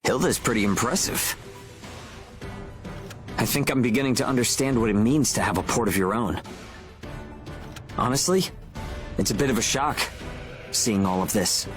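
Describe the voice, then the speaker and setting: A man's voice: medium pitch, normal speed, pauses often, lightly acted. An actor playing an earnest, determined young man in a dramatic video game scene, speaking persuasively. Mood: sincere, reflective, then resolute. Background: music.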